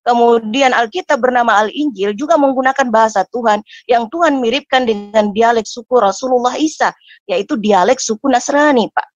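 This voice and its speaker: A woman's voice: on the high side, normal speed, dry recording. A woman on a video call, explaining her view steadily in a casual debate. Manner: explains steadily, answers back when interrupted. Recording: dull lo-fi call audio.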